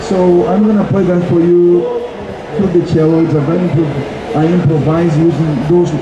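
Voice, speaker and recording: High-voiced man in a noisy hall. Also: steady tone, lo-fi sound. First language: Portuguese. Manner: halting, searching for words. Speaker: cellist and composer. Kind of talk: informal spoken introduction to a performance. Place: noisy indoor event space at a conference. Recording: echoey room with loud background noise.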